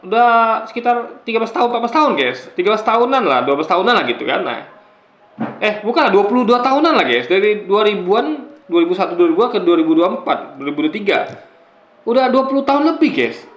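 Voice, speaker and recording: A man in his forties with a high voice speaks casually to his viewers in an echoey room. He explains his points haltingly, circles back over phrases and keeps checking that listeners follow. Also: big pitch swings, a bit bright. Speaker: YouTuber. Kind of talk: casual commentary monologue to viewers.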